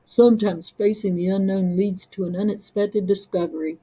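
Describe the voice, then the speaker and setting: A woman voicing a gentle, wise sloth father who soothingly tells bedtime storybook tales. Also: mid-range voice, fairly even intonation, normal speed, slightly rough, natural voice, poor audio.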